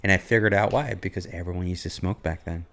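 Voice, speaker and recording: Extremely deep-voiced woman host. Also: quick pace. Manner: relaxed, easygoing, asks questions. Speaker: podcast host. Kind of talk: casual chat.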